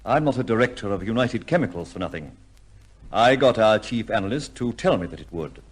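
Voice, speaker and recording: An actor playing a shrewd, fatherly upper-class gentleman investigator in an old-time radio drama, with a commanding, wry, questioning manner. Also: fairly low pitch, animated, fairly quick, breathy edge. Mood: wry, triumphant.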